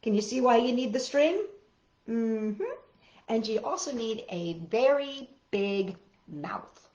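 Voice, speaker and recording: High-pitched woman storyteller, echoey and muffled. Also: takes it slowly. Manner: playful, expressive, addressing young children directly. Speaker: children's storyteller and host. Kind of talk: children's story time with songs, read-aloud and chat.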